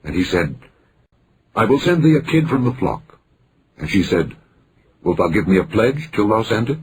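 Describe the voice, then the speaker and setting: A man reading scripture aloud as a scripted narration, solemn and deliberate, with frequent pauses between phrases. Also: on the low side, very animated, noisy background. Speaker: scripture reader.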